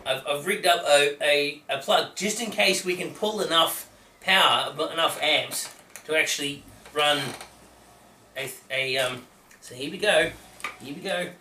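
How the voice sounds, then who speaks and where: A bright, higher-pitched man rambles through a joking chat, tripping over his words and laughing at himself. He sounds a little distant from the mic, in an echoey room with faint noise behind him. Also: measured pace. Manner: rambling, self-deprecating, stumbles over words. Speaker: co-host. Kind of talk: casual comedic chat.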